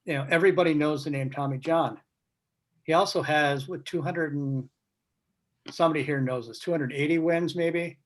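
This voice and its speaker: A man talking casually as a guest on a video call, reflective and measured, often posing questions. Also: a little high, steady tone. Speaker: guest speaker, baseball writer. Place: remote video meeting. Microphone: video call microphone.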